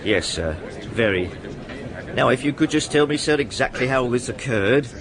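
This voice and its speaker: An actor playing a bossy, grumbling police sergeant with a working-class English accent in a radio drama. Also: male voice, medium pitch, animated, moderate pace, crowd noise, lightly acted. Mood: deferential. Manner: officious, grumbling, colloquial.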